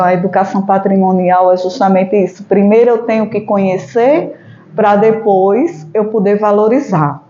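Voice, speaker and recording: Woman, Northeastern Brazilian accent, teacher in an interview, soft mellow tone, echoey room, dull sound. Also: medium pitch. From Juarez Távora, Paraíba, Brazil. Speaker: teacher and heritage education researcher. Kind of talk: documentary interview, informal explanation.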